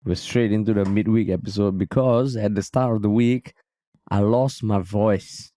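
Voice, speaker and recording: A man in his thirties with a Singaporean accent, hosting a casual podcast chat, his voice husky and hoarse after losing it. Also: lowish voice, fairly even intonation, moderate pace, warm tone, dry recording, studio quality. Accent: Singaporean English. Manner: teasing, swears casually. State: hoarse, recovering voice. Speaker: podcast host.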